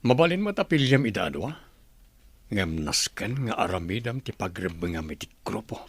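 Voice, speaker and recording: A man's voice: lowish voice, very animated, moderate pace, slightly rough, dry recording, heavily acted. A man with an Ilocano accent playing a stern, commanding rebel leader in a radio drama.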